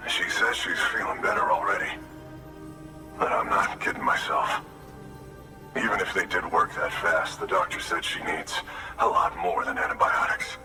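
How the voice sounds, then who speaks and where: An actor playing a worried, desperate husband in a video game, urgent and halting as he pleads. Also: deep voice, natural voice. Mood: grave, worried. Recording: echoey, distant, music behind.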